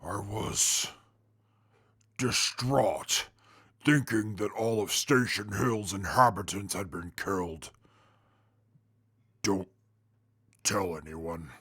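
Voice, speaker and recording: A man playing a stern, guarded wasteland character in an audio drama, speaking formally and deadpan. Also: on the low side, slow, pauses often, husky, full character voice.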